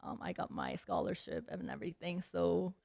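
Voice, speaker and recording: A woman's voice: fairly low pitch, moderate pace, gravelly, a little mellow, no echo, studio quality. A young woman from South Korea, a guest in a casual interview, talking freely with lots of "like" and "um" fillers and frequent laughter. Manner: chatty, many "like" and "um" fillers, laughs often.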